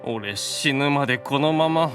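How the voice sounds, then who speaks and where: A man playing a gentle, gluttonous young male demon, plaintive and earnest, in an anime audio drama. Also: mid-range voice, measured pace, soft background music, lightly acted. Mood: forlorn, subdued.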